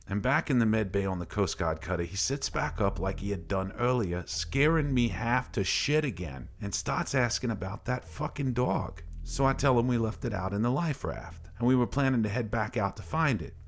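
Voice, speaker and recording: A man's voice: lowish voice, animated, normal speed, creaky, few pauses. A man voicing a boastful drunken barfly, a former Coast Guard medic, telling coarse, rambling tales in a horror narration. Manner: slurred, coarse, rambling talk.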